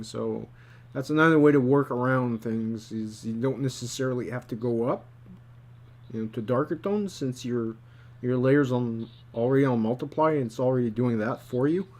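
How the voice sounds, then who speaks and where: A man giving an informal drawing tutorial as a storyboard artist, thinking aloud and haltingly repeating phrases as he works. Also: medium pitch, a little mellow. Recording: clearly noisy background with hum.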